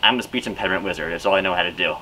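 A man's voice: deep voice, very fast, bright, forceful tone, a little hiss or hum. A young man voicing a grumpy, petty wizard who lays speech curses, speaking theatrically in a backyard. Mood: vengeful. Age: young adult. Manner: theatrical, huffy. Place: backyard outdoors.

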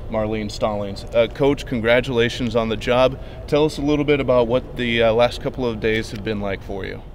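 A man's voice: mid-range voice, darkish tone, slight background noise. A man in his thirties hosting a sports interview in the manner of a radio broadcaster. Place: large empty indoor arena.

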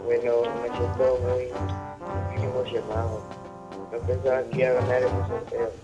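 A Peruvian man talks casually over a dull, lo-fi phone line. His delivery is quite flat and even, with music playing behind him. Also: mid-range voice, measured pace. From Peru. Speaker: prank call victim, friend of the host.

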